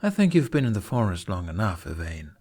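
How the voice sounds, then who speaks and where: A man's voice: deep voice, sing-song, a little fast, a little mellow, slightly rough, full character voice. A man voicing a kind, protective and playful young male adventurer in an audiobook. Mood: gently teasing. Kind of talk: fan fiction audiobook character voice.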